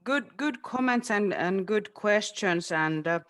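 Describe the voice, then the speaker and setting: A man's voice: high voice, lively intonation, slow, fairly bright tone. A man whose first language is Finnish, speaking as a city education official in a webinar discussion, hesitant, with many fillers and restarts. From Finland. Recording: video call.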